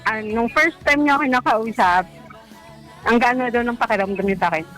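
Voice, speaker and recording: A woman's voice: a little high, a little fast, fairly bright tone, soft background music, poor audio. A Filipino woman calling a radio show, telling a personal story in a casual, hesitant, self-deprecating way. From Philippines.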